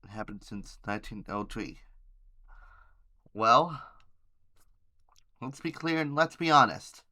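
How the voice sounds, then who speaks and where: A man hosting an amateur news podcast, reading headlines haltingly with informal asides and stumbles over words and names. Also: mid-range voice, animated, sharp tone.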